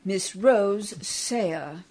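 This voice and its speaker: A woman's voice: mid-range voice, expressive, very slow, a little mellow. An actress playing a prim, plucky and devoted genteel lady in a radio play, speaking in a proper, earnest way. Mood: composed, defiant. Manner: proper, polite, earnest diction.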